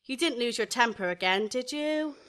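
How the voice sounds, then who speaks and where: A woman's voice: a little high, expressive, normal speed, fairly bright tone. A woman acting in a radio play comedy as a chatty, aggrieved young shop assistant, colloquial, gossipy and blunt. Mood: sympathetic, wary.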